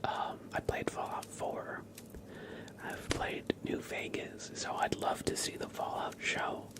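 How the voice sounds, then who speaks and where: A man doing casual ASMR chat in a hushed, mostly whispered voice, rambling gently. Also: slow pace. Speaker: ASMR livestreamer.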